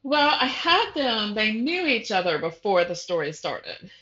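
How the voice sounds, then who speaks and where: A woman's voice: medium pitch, big pitch swings, a little slow, sharp tone, breathy edge, muffled recording. A woman speaking as a guest novelist in a casual, informal interview, often breaking off and rephrasing as she thinks aloud.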